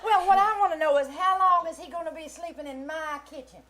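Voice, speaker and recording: An actress playing an outspoken, sassy household cook in a live 1940s-style radio comedy, blunt in manner. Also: female voice, very high-pitched, fairly quick, a bit bright. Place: live stage performance in a theater.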